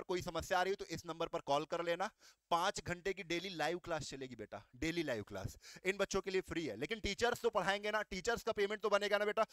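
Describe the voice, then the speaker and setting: A man's voice: high-pitched, a bit bright, no echo. A man teaching exam aspirants, explaining step by step, asking questions and answering them himself. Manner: explains step by step, asks and answers himself, calls students "beta". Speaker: coaching teacher. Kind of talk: exam guidance talk.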